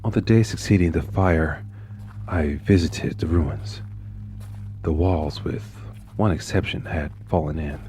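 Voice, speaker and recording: A man narrating a horror story in the first person, intense, dropping to hushed whispers and rising into anguished outbursts. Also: extremely low pitch, a little slow, a little hiss or hum. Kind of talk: dramatic horror story reading.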